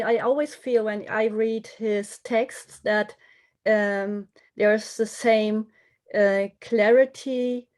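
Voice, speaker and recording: A woman guest on a podcast, talking reflectively and searching for her words as she goes. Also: a little high. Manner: thoughtful, searching for words, restarts phrases.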